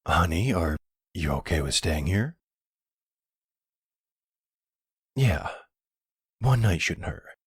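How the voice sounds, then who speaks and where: A man's voice: deep voice, expressive, a little slow, breathy edge, no echo, studio quality, exaggerated voice. A man playing a caring, slightly uneasy young boyfriend in a roleplay audio, tender, casual and hesitant.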